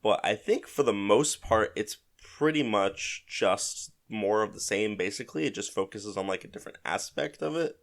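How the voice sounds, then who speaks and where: A man with a fairly low voice, a little stuffed up with a head cold. He talks casually as a solo podcast host commenting on a video game, working through his opinions aloud and often softening them. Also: animated, normal speed, vocal fry, few pauses. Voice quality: slightly congested, stuffy. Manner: hedging, conversational, thinking aloud.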